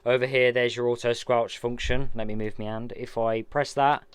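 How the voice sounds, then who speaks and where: Chatty man, smooth close voice. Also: mid-range voice, level tone, normal speed, no room sound. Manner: chatty, hands-on, narrating each step. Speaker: vlogger unboxing radio gear. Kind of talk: casual product unboxing walkthrough.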